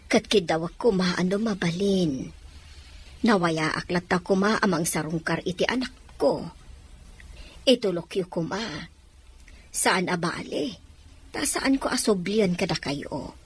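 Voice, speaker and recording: A woman's voice: on the low side, lively intonation, unhurried, pauses often, breathy edge, heavily acted. A woman playing a pleading, deferential mother in her forties in an Ilocano audio drama. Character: pleading 46-year-old mother giving up her baby.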